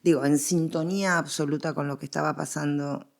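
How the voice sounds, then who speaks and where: A woman's voice: sits low, steady tone, gravelly. A woman with a Buenos Aires Argentine accent, speaking as an academic guest in unscripted conversation, often stopping to rephrase as she builds her argument. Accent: Argentine Spanish, Buenos Aires. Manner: halting, self-correcting as she builds arguments. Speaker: interview guest, literature professor and researcher.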